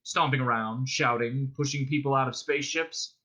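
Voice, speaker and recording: Man playing a quick-witted, bright-voiced traveller. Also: mid-range voice, steady tone, lightly acted. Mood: coaxing. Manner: quick, exasperated, coaxing. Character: quick-witted male alien traveller, exasperated, slyly persuasive.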